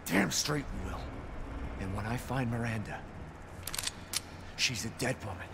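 A man's voice: medium pitch, a little slow, creaky. A man acting a desperate, hot-tempered father in a video game, agitated and pleading. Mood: determined. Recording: reverberant space, faint noise.

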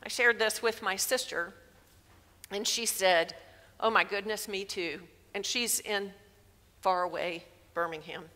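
A woman in her sixties preaching a sermon in a church sanctuary through a headset mic, measured and emphatic. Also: mid-range voice, bright, forceful tone. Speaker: pastor. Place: large church sanctuary, indoors. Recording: long reverb of a church hall.

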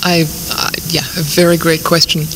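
A woman's voice: sits low, little pitch movement, moderate pace. A woman born in the Himalayas and raised in Germany, a native Persian speaker, telling stories with enthusiasm as a guest on a radio show. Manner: enthusiastic, eclectic storyteller with restarts. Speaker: guest author. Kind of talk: radio interview. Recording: noisy 1990s radio recording.

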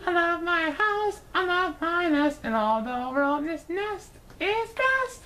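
A woman's voice: very high-pitched, animated, slow, pushed and bright, voice pushed up, full character voice. A woman voicing a bossy, fussy but contented mother bird from a storybook, emphatic and sing-song. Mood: sweet, contented.

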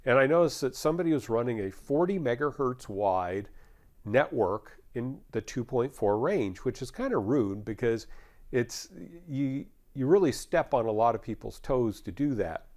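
American man giving a conversational software walkthrough. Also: mid-range voice, lively intonation, normal speed. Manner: conversational, step-by-step explaining. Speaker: technical trainer. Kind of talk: instructional software demonstration.

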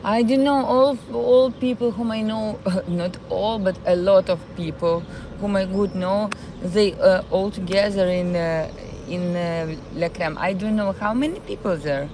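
Slow-talking Ukrainian woman streaming outdoors. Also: mid-range voice, background noise. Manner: halting, searching for words, chatty with viewers. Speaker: live-streaming vlogger. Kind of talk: casual live stream chat with viewers. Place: outdoors in a city park. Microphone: phone.

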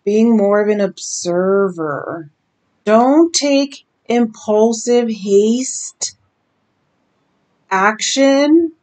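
Woman in her 30s, tarot reader, sleep-raspy voice, very slow deliberate delivery, camera at desk distance. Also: fairly high pitch, animated, a little mellow. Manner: slow, emphatic, drawn-out warnings. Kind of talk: slow intuitive card reading to camera. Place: small decorated bedroom indoors.

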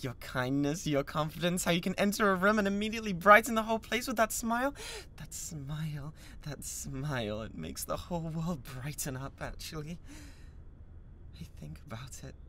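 A man acts out a lovesick young British male classmate with an unhinged devotion, in an ASMR roleplay. The voice is bright and tense, swinging widely between shy, halting talk and manic intensity. A faint low rumble sits in the background. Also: expressive. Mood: infatuated. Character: obsessive young male yandere classmate, awkward, lovesick, menacing. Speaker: voice actor. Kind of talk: dramatic ASMR roleplay monologue.

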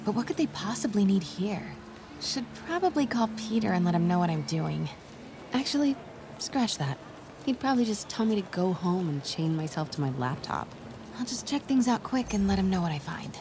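A woman's voice: medium pitch, animated, moderate pace, noisy background, close to an everyday voice. A woman playing a determined, curious young female reporter in a video game, conversational and wry. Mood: musing.

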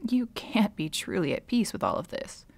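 A woman playing a centuries-old villainess witch in an ASMR audio roleplay, wry and bitter with a reluctant tenderness, often slipping toward a breathy whisper. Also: mid-range voice, big pitch swings, a little mellow, dry recording. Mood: incredulous, angry. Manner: slow, sardonic, dropping often into breathy whispers. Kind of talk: ASMR dramatic roleplay monologue.

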